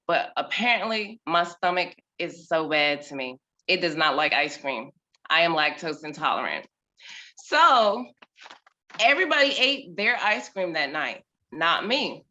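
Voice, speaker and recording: A woman in her thirties, a mother, telling a personal story on a video call with expressive, dramatic delivery and big swings in loudness. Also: on the low side, highs cut off.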